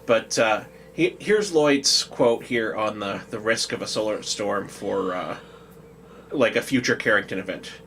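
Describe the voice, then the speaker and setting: A man's voice: lowish voice, measured pace, a bit bright, a little hiss or hum, rough recording. A man co-hosting a casual discussion podcast, talking in a hesitant way as he thinks aloud. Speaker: podcast co-host. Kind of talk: casual discussion, at times reading out a quote.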